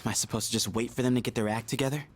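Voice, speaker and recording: A man's voice: medium pitch, fairly even intonation, racing, natural voice. Restless, impatient teenage guy played by a man. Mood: frustrated. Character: impatient, plain-spoken teenage male student fighter. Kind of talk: English anime-style game dub acting.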